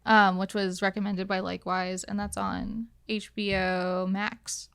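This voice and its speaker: A woman's voice: medium pitch, flat delivery, unhurried, vocal fry, a bit bright, clear, resonant tone. A woman guest on a podcast, chatting informally and giving her opinions. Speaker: podcast guest, game designer. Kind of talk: casual podcast chat.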